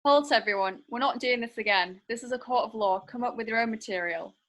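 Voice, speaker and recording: A woman's voice: on the high side, fairly quick, fairly bright tone, slight background noise, lightly acted. A woman playing an officious court clerk in a radio play, formal and commanding. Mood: exasperated. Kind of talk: comic radio play acting.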